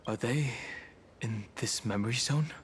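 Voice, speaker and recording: A man voicing a guarded, serious young adventurer in a video game, cautious and hushed. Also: medium pitch, measured pace, long reverb tail, a little hiss or hum, lightly acted. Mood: wary.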